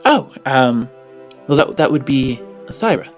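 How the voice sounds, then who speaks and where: A man voicing a chatty, deferential orphanage headmistress in a tabletop game, explaining things in a halting way. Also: mid-range voice, very animated, soft background music. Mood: hesitant, gossipy.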